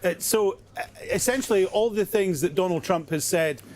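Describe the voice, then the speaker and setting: News reporter guy, kinda high voice, steady live report, some uhs. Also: crowd noise. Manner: measured, with hesitant fillers. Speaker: news correspondent.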